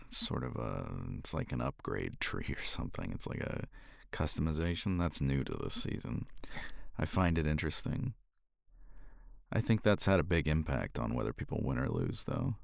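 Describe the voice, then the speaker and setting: A man's voice: very deep voice, vocal fry, dry recording, clean studio sound, full character voice. A man playing a cocky, weary champion competitor in an intimate romance ASMR roleplay, flirtatious and teasing, with languid sighs and murmured asides.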